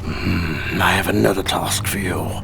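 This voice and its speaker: A man's voice: low voice, fairly even intonation, unhurried, vocal fry, very noisy. An actor playing a sleazy, greedy, fat crime boss in a video game, drawling and often tacking a questioning "eh" onto his phrases. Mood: smug, scheming. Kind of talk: video game cutscene acting.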